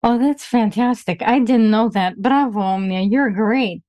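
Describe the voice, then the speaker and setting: A woman with a fairly high voice hosts an interview podcast. She sounds enthusiastic and encouraging as she asks her questions, and her recording is dry, with no room echo. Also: a little slow, darkish tone. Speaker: podcast host.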